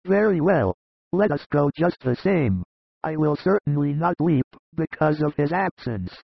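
A man's voice: on the high side, lively intonation, measured pace, gravelly, slightly rough, no room sound, dull audio, voice pushed up, full character voice. A man voicing an indignant, talkative disciple of Jesus in a dramatised scripture audiobook, with an emphatic, complaining delivery. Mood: grumbling.

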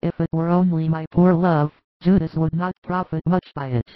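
A devout, anxious biblical mother, as a male storyteller plays her, speaks in a raised, tender pitch. Her delivery is fervent and effusive, in a religious storybook style. The recording is dry and a little dull. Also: little pitch movement, normal speed, dry recording, lightly acted. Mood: grateful, pleading. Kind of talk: dramatized scripted religious storytelling.

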